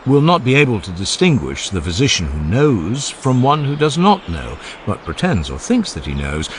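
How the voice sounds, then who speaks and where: A man narrating an audiobook of a classical philosophical dialogue in a measured, formal and emphatic way. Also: medium pitch, slight background noise. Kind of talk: dramatized scripted reading of a classical philosophical dialogue.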